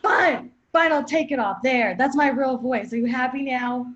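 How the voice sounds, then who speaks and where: A sullen supervillain henchman played by a woman in improvised comedy, dry and grumbling. The voice is high, and the audio is a bit dull. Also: moderate pace, lightly acted. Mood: grudging.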